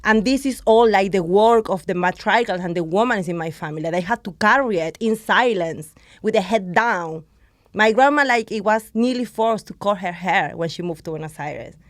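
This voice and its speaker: A woman speaks as a guest on a podcast, her voice sitting in a middle pitch. She talks with passion and switches into now and then. Her sound is dry and close. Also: moderate pace, no echo. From Argentina. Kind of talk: podcast conversation.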